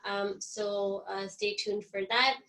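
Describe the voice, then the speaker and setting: A woman's voice: mid-range voice, fairly even intonation, takes it slowly, bright, forceful tone, poor audio. A woman hosting a webinar, introducing an employment agency and steadily listing its programs with ums between items, over a call microphone. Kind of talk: organizational introduction.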